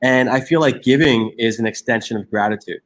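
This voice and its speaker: A man's voice: mid-range voice, a little fast. A man in his forties from Egypt, a guest talking earnestly in an interview, restarting his sentences often. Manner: earnest, many restarts and fillers. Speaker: guest, social entrepreneur. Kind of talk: reflective interview conversation.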